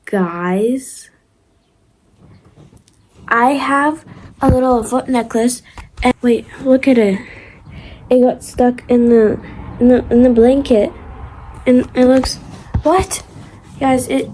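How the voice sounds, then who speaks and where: A woman's voice: a little high, slow, long pauses, warm tone, slight background noise, low-quality recording. Chatty young woman with a Ukrainian accent. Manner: chatty, rambling, many fillers and restarts, giggly asides. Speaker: vlogger. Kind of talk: casual storytime vlog.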